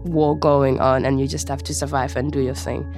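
A woman's voice: very deep voice, flat delivery, normal speed, darkish tone, faint music behind. A young woman from Somalia, a student speaking in a studio interview, telling her story casually with many fillers. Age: young adult.

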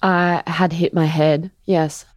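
Lowish-voiced, insistent female witness. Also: little pitch movement, slow, dry recording, studio quality. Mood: steady insistence. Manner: short, firm answers. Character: female witness under cross-examination, steady and insistent. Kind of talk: re-enacted courtroom testimony.

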